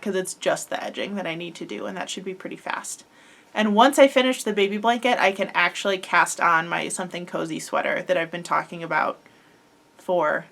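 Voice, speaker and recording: A woman's voice: mid-range voice, vocal fry, fairly bright tone. A young woman hosting a crochet podcast, talking casually and rambling with lots of fillers, her voice a little congested from a head cold. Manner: chatty, rambling, filler-heavy with frequent likes and ums. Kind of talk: casual chat.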